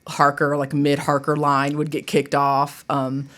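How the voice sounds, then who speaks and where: A woman with a low-set voice talks in a loose, chatty way. She is a guest answering interview questions as a theatre director, keeping it informal and conversational. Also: completely flat delivery, unhurried, fairly bright tone. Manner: informal, chatty, laughs easily.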